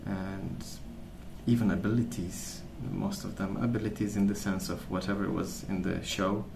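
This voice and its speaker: A young man speaks softly in a lowish voice, as an ASMR creator rambling along. His manner is gentle and unhurried, as if thinking aloud. The room is echoey, with clear background noise behind him. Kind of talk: soft-spoken ASMR rambling.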